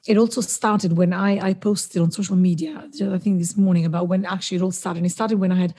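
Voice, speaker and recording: A woman's voice: fairly low pitch, fairly even intonation, fast, mellow, slightly rough. A woman from southern Italy, a native Italian speaker, talking effusively as a podcast guest in long, winding thoughts. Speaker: guest, founder of a storytelling network. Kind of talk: casual conversational interview.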